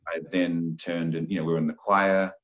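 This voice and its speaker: A low-pitched man with a New Zealand accent talks casually as an interview guest. He speaks haltingly, restarting his sentences and filling gaps with ums. The sound is dull and lo-fi. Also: little pitch movement, a bit bright, slight background noise. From New Zealand, Samoan-born. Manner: halting, many fillers and restarts. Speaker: guest, film actor.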